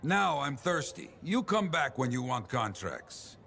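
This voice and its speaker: Jovial, gravelly foreign contract fixer. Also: male voice, mid-range voice, a little slow, a little hiss or hum, exaggerated voice. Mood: playfully disdainful. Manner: jovial, expressive, broken English. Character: boisterous foreign fixer handing out mercenary contracts. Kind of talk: video game character acting.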